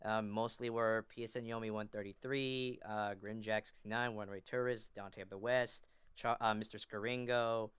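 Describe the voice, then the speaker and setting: A man's voice: on the low side, fairly even intonation, normal speed, few pauses, a bit bright, clean tone, no room sound. A young man chatting casually as a podcast guest, rambling with a fan's enthusiasm. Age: young adult. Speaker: podcast guest, amateur voice actor and fandubber. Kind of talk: casual chat.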